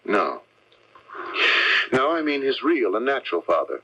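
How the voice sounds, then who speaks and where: A man playing a courteous, bookish lawyer turned investigator in a 1970s radio mystery, speaking in a measured, polite way. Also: medium pitch, very animated, breathy edge. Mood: measured, probing. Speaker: radio drama actor. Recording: dull 1970s AM radio recording.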